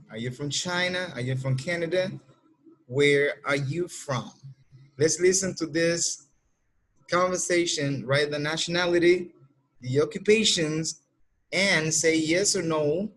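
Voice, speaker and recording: Slow-speaking male English teacher. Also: a little high, fairly bright tone. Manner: slow, repeats instructions to students. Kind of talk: classroom lesson over textbook audio.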